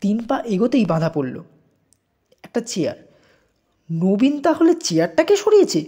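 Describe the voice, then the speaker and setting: A woman's voice: medium pitch, sing-song, moderate pace, mellow. A woman narrating a scripted audio story in a dramatic, suspenseful way. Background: music under the voice.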